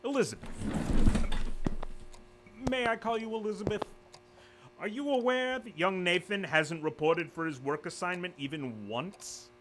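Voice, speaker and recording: An actor playing a smooth male corporate official who speaks with false politeness and quiet threat. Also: sits high, a little slow, long pauses, background noise. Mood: smooth menace. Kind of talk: audio drama acting.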